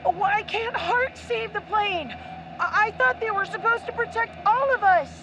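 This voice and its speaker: A woman's voice: measured pace, bright, forceful tone, exaggerated voice. A woman playing an anxious little boy, a younger brother, with hesitant childlike delivery in a radio play. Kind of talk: audio drama acting. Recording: muffled, very noisy background rumble.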